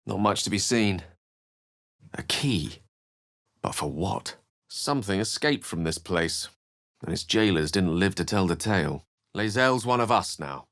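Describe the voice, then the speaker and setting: A man's voice: low-pitched, lively intonation, a little slow, pauses often, a bit bright, full character voice. An actor playing a witty, curious male wizard adventurer in a video game, speaking in dry, articulate asides. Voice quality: dry wit, wry and articulate. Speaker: companion wizard character.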